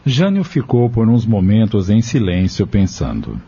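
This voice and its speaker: A man narrates an audio drama from a script. His voice is low and husky, with very animated intonation, and the sound is slightly muffled. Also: measured pace.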